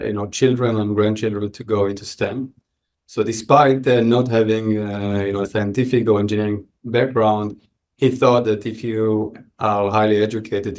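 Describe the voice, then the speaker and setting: A man with an Israeli accent answering interview questions reflectively, often pausing mid-sentence. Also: lowish voice, a little mellow. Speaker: podcast guest, entrepreneur.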